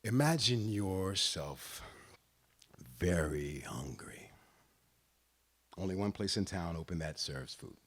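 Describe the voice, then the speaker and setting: A man reads a literary story aloud in a deliberate, expressive way, pausing between phrases. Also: low-pitched. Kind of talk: dramatic literary reading.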